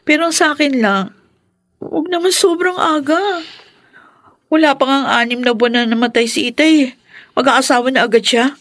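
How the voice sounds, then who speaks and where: A young Filipino woman mourning her father in a radio drama. Her voice is high and plaintive, protesting with wounded indignation, and the sound is close and dry. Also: normal speed, a bit bright, dry recording. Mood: startled, protesting. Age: young adult. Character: young grieving daughter, hurt and indignant.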